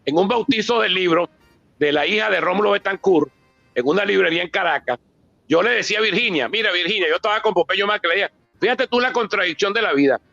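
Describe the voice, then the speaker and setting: A man's voice: high-pitched, lively intonation, fast, vocal fry, sharp tone, no echo, dull audio, faint music behind. A Venezuelan man giving an extemporaneous talk on history, emphatic and anecdotal, repeating phrases as he builds his account. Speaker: author and politician speaking at a book presentation.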